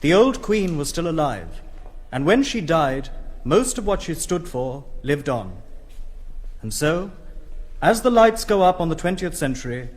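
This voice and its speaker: A man playing a solemn, elegiac narrator of history with an English accent, giving measured, orotund scripted narration in a radio play. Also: a little high, very animated. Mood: solemn, nostalgic.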